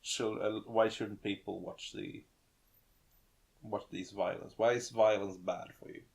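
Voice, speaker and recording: A man's voice: on the low side, fairly even intonation, creaky, darkish tone. A man co-hosting a casual gaming podcast, prodding the others for opinions and restarting his sentences often. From Sweden. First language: Swedish. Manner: prodding, halting, many restarts. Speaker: podcast co-host. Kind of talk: casual discussion.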